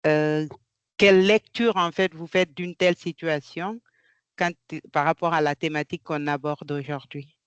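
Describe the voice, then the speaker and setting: A woman's voice: deep voice, steady tone, moderate pace, clear, resonant tone, no echo, highs cut off. A woman from Guinea asking a question in an online conference over a video call, hesitant with many fillers and restarts. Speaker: audience member asking a question.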